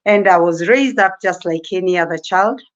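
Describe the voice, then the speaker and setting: A woman's voice: lowish voice, normal speed. A Kenyan woman guest in an interview, talking earnestly about her early life, often repeating her phrases. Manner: earnest, repetitive, fervent. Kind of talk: interview storytelling. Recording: muffled lo-fi audio.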